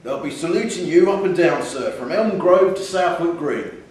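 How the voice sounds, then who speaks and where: A man voicing a cheery, obliging old-fashioned shopkeeper flattering a customer in a story reading. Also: a little high, moderate pace, pitched above the performer's own voice, lightly acted. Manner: cheery, salesman-like patter. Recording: very echoey, distant mic.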